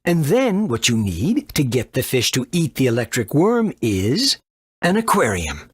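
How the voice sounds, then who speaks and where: An actor playing an eccentric, boastful doctor and inventor in a video game, brusque and quick to scold. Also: male voice, mid-range voice, wildly swooping pitch, husky, full character voice. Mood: explanatory. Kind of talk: animated video game voice acting.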